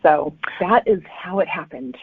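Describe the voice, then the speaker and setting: A woman's voice: lowish voice, lively intonation, unhurried, husky, dry recording. A woman speaking as an author guest in a casual interview chat. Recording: thin phone-like line.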